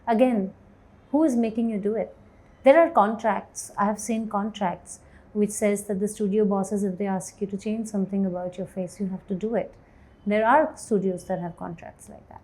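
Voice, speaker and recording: A woman in her forties, an actor and writer, speaking candidly in an interview with a low voice, thoughtful pauses and easy laughter. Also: fairly even intonation, dark tone. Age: 40s. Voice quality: low voice, self-described as bass. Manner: thoughtful, hesitant, laughs easily.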